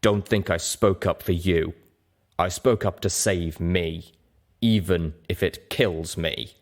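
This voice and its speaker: Grim pony trader and father, male reader, deep register, slow deliberate delivery, bitter and worn down, audiobook character. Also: steady tone, exaggerated voice.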